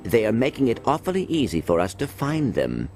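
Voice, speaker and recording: An earnest little game robot with a creaky edge and wide swings of pitch. He speaks formally and precisely, like a polite analyst, over music. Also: wildly swooping pitch, fairly quick. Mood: doubtful. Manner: formal, precise, without contractions. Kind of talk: video game cutscene acting.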